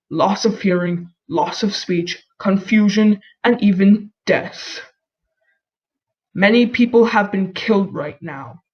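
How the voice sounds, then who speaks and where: A woman reading an emergency alert in a flat, even, automated broadcast manner. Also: mid-range voice, noisy background. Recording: dull lo-fi audio.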